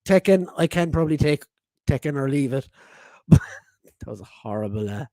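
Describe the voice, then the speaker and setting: A man's voice: medium pitch, lively intonation, moderate pace, dark tone, dry recording. A man in his forties hosting a casual live-stream podcast, reading chat messages aloud, laughing often and swearing freely. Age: 40s.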